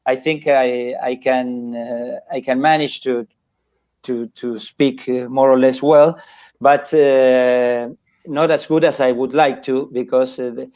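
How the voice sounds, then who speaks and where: An older man from Argentina, talking as a guest author on a video call, halting and restarting often with small chuckles. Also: mid-range voice, fairly even intonation, a little mellow. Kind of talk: casual chat on a video call.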